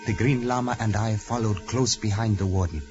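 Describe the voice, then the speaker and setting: A man's voice: lowish voice, steady tone, fairly quick, over music, lightly acted. A man playing a devoted, deferential assistant who speaks formally in a 1940s radio drama. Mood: solemn narrating. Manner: formal, respectful. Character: deferential, earnest male assistant and narrator, devoted follower. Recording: muffled old radio recording.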